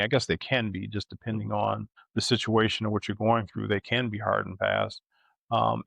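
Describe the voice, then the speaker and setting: A middle-aged man co-hosting a podcast in casual, reflective conversation, thoughtful and halting, often checking in with a questioning tag. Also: fairly low pitch, gravelly, a bit bright, no echo. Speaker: podcast co-host.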